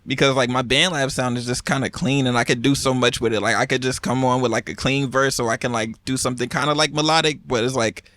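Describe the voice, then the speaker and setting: Young male host, bright voice, dry close sound, rambles with fillers. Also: medium pitch, steady tone, fast talker, few pauses, dry recording. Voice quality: raspy when rapping. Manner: rambling, filler-heavy explaining. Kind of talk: casual chat.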